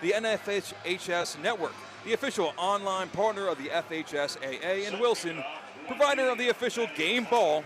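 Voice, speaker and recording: High-pitched, excitable male football announcer. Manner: excitable, projected, emphatic announcer delivery. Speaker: play-by-play sports announcer. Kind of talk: live high school football radio play-by-play, with sponsor reads. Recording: stadium crowd noise behind broadcast commentary. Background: loud outdoor stadium crowd and ambience.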